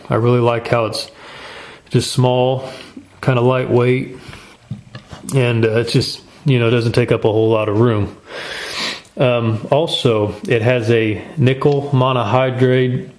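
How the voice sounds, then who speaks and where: A man's voice: mid-range voice, steady tone, unhurried, a little mellow. A man hosting a casual product review in an informal, folksy, plain-spoken way. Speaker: gear review channel host.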